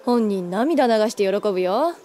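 A woman's voice: a little high, expressive, unhurried, dark tone, slight background noise. An actress playing a breezy, teasing older schoolgirl with a playful, mock-pompous way of talking in an anime audio drama.

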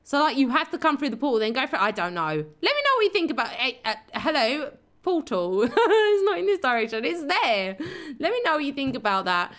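A young woman with a hoarse, worn-out voice, chatting casually to viewers while playing a building game. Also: sits high, sing-song, a little fast, a bit bright. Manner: chatty, giggly, talks to herself and the viewers. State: hoarse, losing her voice. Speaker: game channel host. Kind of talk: casual Minecraft let's play commentary.

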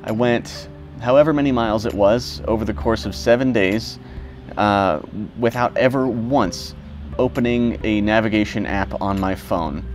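A young man talking casually about his motorcycle trip, relaxed and rambling with fillers and self-corrections. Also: lowish voice, normal speed, faint music behind. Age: young adult. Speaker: motorcycle vlogger. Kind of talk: casual reflective vlog talk.

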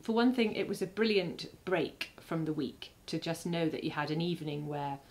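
A woman in her thirties giving a warm, casual testimonial on a living room sofa. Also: sits low, normal speed, low-quality recording. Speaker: married couple sharing a testimonial.

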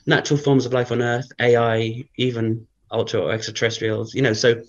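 Man, guest on an interview, croaky early voice, rambling and filler-heavy, dull lo-fi sound. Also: mid-range voice, level tone, brisk. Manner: rambling, thinks aloud with many fillers. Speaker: guest researcher. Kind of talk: casual interview talk.

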